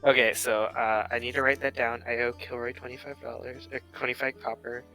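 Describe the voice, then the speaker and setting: A man's voice: medium pitch, little pitch movement, brisk, gravelly, pushed and bright, faint music behind, poor audio. A man chatting casually as a player in a tabletop roleplaying game. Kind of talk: casual chat.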